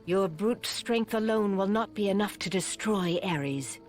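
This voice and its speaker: A mystical female oracle acted by a woman, foreboding and portentous. She speaks very slowly at a middling pitch over prominent game music, weighing each phrase with solemn care. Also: natural voice. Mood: solemn, warning. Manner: portentous, measured. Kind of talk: video game cutscene acting.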